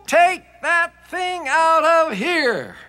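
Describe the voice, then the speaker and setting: A man voicing alarmed townspeople in a children's folktale, with loud, drawn-out cries. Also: extremely high pitch, sing-song, over music, pitched above the performer's own voice, heavily acted. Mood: annoyed.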